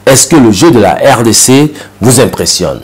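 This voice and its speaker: A man's voice: medium pitch, lively intonation, normal speed, breathy edge. A man hosting a special interview show, asking formal questions in an announcer-like way. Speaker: TV show host.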